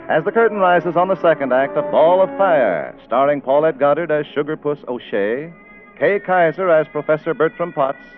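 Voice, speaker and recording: Vintage radio announcer man over music. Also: on the high side. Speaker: announcer, narrator. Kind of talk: scripted 1940s radio narration. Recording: dull old radio broadcast.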